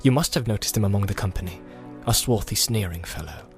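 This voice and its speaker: A man voicing a grim, secretive, world-weary wanderer of the wilds in an audiobook, measured and guarded. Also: lowish voice, faint music behind, lightly acted. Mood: stern warning. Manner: measured and guarded, often dropping to a hush. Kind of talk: audiobook character acting.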